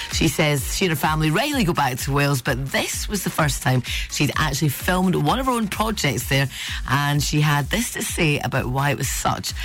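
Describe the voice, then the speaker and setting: Guy doing radio showbiz news, brisk and chatty, faint music under it. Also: a little high, fairly bright tone. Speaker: radio entertainment news presenter. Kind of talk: radio news bulletin reading.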